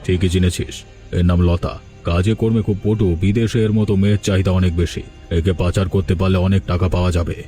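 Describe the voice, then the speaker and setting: Cartoon crime villain, male actor: lowish pitch, bossy and conniving, faint music underneath. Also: flat delivery, fairly quick, dark tone, close to an everyday voice. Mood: commanding, secretive. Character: scheming male village trafficker and his masked boss. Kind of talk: cartoon story dubbing. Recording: music behind the voice. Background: background music.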